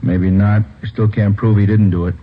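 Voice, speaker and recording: An actor playing a blunt, probing police lieutenant in an old radio crime drama, with a curt, questioning manner. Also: male voice, on the low side, little pitch movement, moderate pace, lightly acted. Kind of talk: 1940s-50s radio crime drama acting. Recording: tinny, faintly noisy old radio recording.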